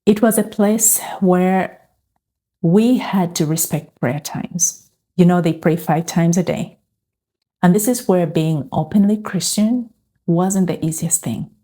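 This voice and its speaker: A middle-aged woman tells her story in a calm, earnest way. Her voice is low and mellow. She speaks slowly and reflectively. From Uganda. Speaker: faith and lifestyle vlogger. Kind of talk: personal testimony to camera.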